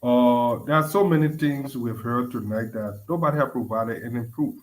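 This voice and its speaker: A man's voice: mid-range voice, moderate pace, rough recording. Insistent man. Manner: emphatic, builds to insistent demands. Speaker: caller. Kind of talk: heated call-in debate.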